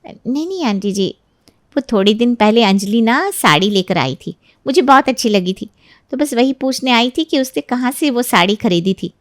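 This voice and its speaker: High-voiced scheming young neighbour woman, acted out by a woman. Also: animated, moderate pace, no room sound, studio quality, natural voice. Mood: falsely sweet. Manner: sly, insinuating, mock-hesitant. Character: scheming, jealous young married woman neighbour, falsely sweet. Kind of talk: dramatized Hindi moral story acting.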